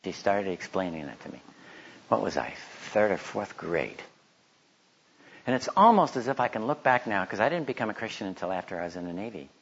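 A man's voice: on the low side, very animated, brisk, creaky, fairly bright tone, slightly rough. Man teaching, slightly dull recording. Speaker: Bible teacher giving a talk. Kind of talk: informal religious teaching with stories and a read-aloud testimony.

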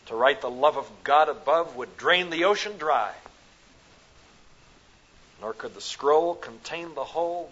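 A man from the American Midwest with a Midwestern accent, preaching a sermon at a conference, rising to loud emphatic peaks and dropping to soft, slow phrases. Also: mid-range voice, slight background noise. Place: conference hall. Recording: dull lo-fi recording.

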